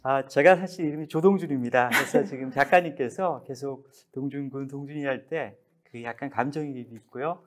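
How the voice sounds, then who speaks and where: A man in his fifties from Korea's Gangwon region, a professor moderating a book talk in an earnest, slightly halting way. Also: medium pitch. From Gangwon Province, Korea. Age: 50s.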